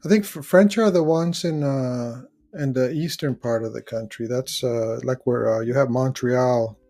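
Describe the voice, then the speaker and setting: A man's voice: medium pitch, normal speed, warm tone. A man hosting a live call-in show, chatting casually, rambling as he searches for words and reads out viewer comments. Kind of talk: casual live-stream chat.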